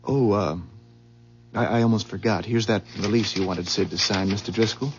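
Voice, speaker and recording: An actor playing an earnest, anxious, ordinary husband in a 1970s radio drama, speaking a little hesitantly. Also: male voice, on the low side, fairly even intonation, normal speed, close to an everyday voice. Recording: dull, hissy 1970s radio recording.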